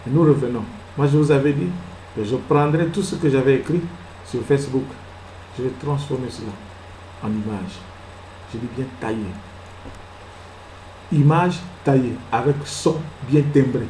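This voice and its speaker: A man preaching a sermon as pastor. He fires rhetorical questions, moves between soft asides and loud exclamations, and acts out little scenes. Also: medium pitch, normal speed, pauses often, roomy sound, slight background noise, rough recording. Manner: acts out little scenes and laughs at his own jokes.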